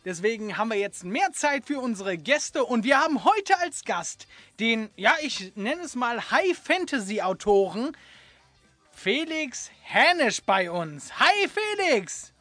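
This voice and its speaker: A young male podcast host with a light, girlish voice. He chats casually and excitably, with a very lively, swooping pitch and a bright edge, tripping into restarts, recorded close and dry. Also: unhurried, no echo. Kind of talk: casual joking chat.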